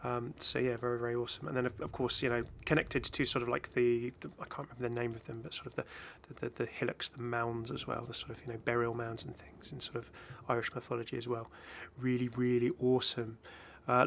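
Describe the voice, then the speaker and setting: A man's voice: mid-range voice, gravelly, fairly bright tone, a little hiss or hum. A man giving casual, off-the-cuff game commentary as a video host, chatty and rambling with enthusiastic asides.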